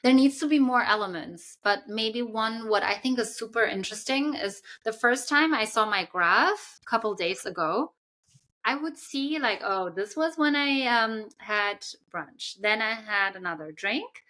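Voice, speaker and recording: A young woman co-hosting a casual podcast chat, explaining at length with frequent ums and pauses. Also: fairly high pitch, animated, bright, forceful tone. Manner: thoughtful, explains at length with many fillers. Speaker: podcast co-host.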